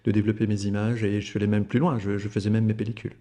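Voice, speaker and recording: Man, 50s, from Alsace, podcast guest, repeats words, fillers, lowish voice. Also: level tone, rapid-fire, mellow, big-room sound. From Alsace, France. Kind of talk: casual conversation.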